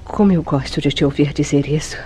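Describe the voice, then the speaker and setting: A woman's voice: sits low, brisk, dark tone, slight background noise, close to an everyday voice. A Brazilian woman acting an evasive, coquettish newlywed wife in a radio soap opera, with theatrical, formal delivery. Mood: affectionate. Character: evasive, coquettish newlywed wife placating her jealous husband. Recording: radio drama with music behind.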